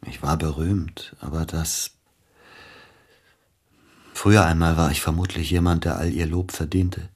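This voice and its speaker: Brooding middle-aged pianist in a radio drama, low husky voice, formal, bitter and hurt. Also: male voice, full character voice. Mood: bitter, wistful. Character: aging celebrated pianist, wounded, bitter, melancholy betrayed lover. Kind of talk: radio play acting.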